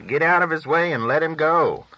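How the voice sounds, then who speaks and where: A man voicing a grumpy, put-upon cowboy, bright and forceful. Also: on the high side, normal speed, far from the mic, lo-fi sound, voice pushed up. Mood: urgent.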